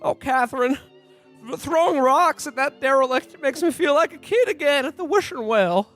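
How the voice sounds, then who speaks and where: A man's voice: extremely high pitch, expressive, a bit bright, soft background music. A man playing a naive, bashful podcaster who stammers in a parody of old film acting. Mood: bashful, eager. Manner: stammering, halting. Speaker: podcast host playing himself. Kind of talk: parody of 1940s film acting in a radio play.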